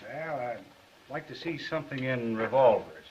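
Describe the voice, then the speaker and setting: An actor playing a cool, threatening gangster in a 1930s crime film, on a muffled old soundtrack. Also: male voice, mid-range voice, animated, normal speed, a little mellow, a little hiss or hum, natural voice. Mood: cool, insinuating. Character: menacing male gangster with a gun, cool and threatening.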